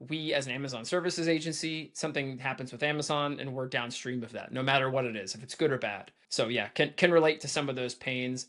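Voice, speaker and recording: A man's voice: mid-range voice, fairly bright tone. A man hosting a podcast interview, chatty and enthusiastic with many fillers. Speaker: podcast host.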